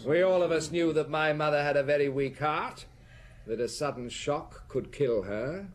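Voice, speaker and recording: An actor playing a debonair, spendthrift count in an audio drama, with a breezy, sardonic and theatrical manner. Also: male voice, on the high side, moderate pace, a little hiss or hum, close to an everyday voice. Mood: mocking, commanding. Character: flippant, spendthrift aristocratic count, mocking and debonair. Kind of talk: detective audio drama acting.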